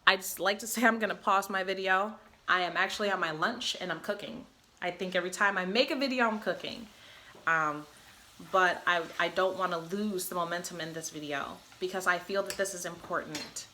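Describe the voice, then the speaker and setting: Bright-voiced woman ranting, blunt and impassioned. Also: lowish voice, normal speed. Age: adult mother. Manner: blunt, impassioned, swears freely. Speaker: vlogger. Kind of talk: personal vlog monologue.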